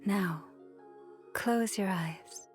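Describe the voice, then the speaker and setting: A woman's voice: medium pitch, very slow, darkish tone. A woman playing a serene, mystical forest priestess in a video game, solemn and soothing. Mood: serene, reverent. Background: game music.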